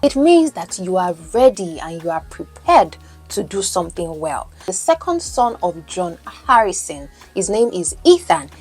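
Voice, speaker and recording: A woman's voice: fairly low pitch, big pitch swings, unhurried, a little mellow, slightly rough, soft background music. Nigerian woman coaching English. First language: Yoruba. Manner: explanatory, asks the listener questions. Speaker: English language coach and podcast host. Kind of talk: teaching lesson, mixing in Pidgin.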